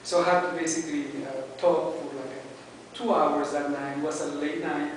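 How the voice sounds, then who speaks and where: A man with a Mexican accent, his first language Spanish, gives a halting prepared speech to a club, pausing often on fillers. His voice sits a little high for a man. He is recorded from across a very echoey meeting room, with a faint hiss underneath. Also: steady tone, distant mic. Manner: hesitant, many "uh"s and restarts. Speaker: club member giving a speech.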